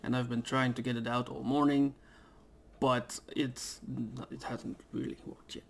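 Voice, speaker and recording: A man's voice: mid-range voice, little pitch movement, unhurried, vocal fry. Rambling man with a scratchy throat. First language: Dutch. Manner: rambling and hesitant, many fillers. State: throat irritated, clearing it. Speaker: gaming YouTuber. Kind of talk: casual let's play commentary. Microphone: desk microphone.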